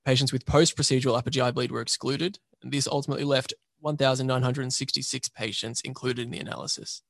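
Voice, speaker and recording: A man, an emergency registrar, presenting a journal paper by reading a prepared summary in a measured, methodical way. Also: medium pitch, steady tone, vocal fry, fairly bright tone, no room sound.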